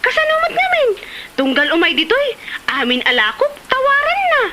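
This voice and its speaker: Woman acting a shrill, snappy Ilocano market vendor. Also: huge pitch swings, normal speed, sharp tone, slight background noise, heavily acted. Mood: scornful. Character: snappy, sharp-tongued female market vegetable seller. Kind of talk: Ilocano comedy drama acting.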